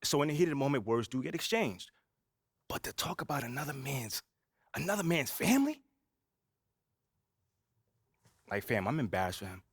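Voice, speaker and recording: A cocky young pro basketball rookie in a sports game, talking in a laid-back, brash way and leaning on "you know" again and again. His voice sits at an ordinary middle pitch, and the recording is close and dry. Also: big pitch swings, quick pace, slightly rough, no echo. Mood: measured. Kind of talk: video game cutscene voice acting.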